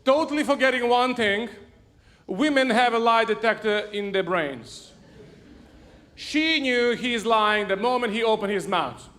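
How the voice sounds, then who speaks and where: Joking pastor, high voice. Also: male voice, lively intonation, unhurried, fairly bright tone. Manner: jokey and self-mocking, laughs at his own stories. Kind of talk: humorous sermon storytelling. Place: church sanctuary.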